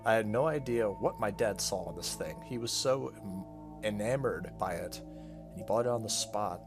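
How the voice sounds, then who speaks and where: A man's voice: on the low side, moderate pace, music in the background, natural voice. A man voicing a frank, uneasy teenage girl in a visual novel, telling her story in an earnest, conversational way.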